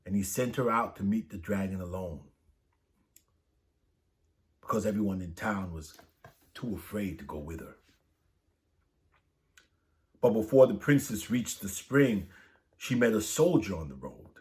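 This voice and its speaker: A man's voice: lowish voice, a little slow, long pauses. A man reading a saint's story aloud for children, lifting his voice for the quoted lines. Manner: lively, lifts voice for dialogue. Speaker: storybook reader.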